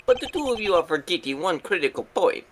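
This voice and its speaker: A man voicing a suave, cutting young German prosecutor, smooth and condescending, in amateur video game voice acting. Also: sits high, very animated, fast talker, a little hiss or hum. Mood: smug, reasoning. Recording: loud music behind the voice. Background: video game music.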